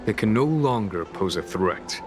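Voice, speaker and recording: An actor playing a calm, wise general in a video game, with measured, formal, unhurried delivery. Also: male voice, on the low side, background noise. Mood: reflective. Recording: music under the voice.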